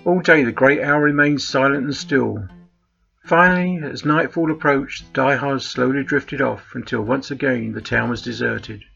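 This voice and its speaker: Gravelly male storyteller, slightly muffled. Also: mid-range voice, normal speed, bright, forceful tone. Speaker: author reading his short story. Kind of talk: scripted story narration. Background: faint plucked guitar music.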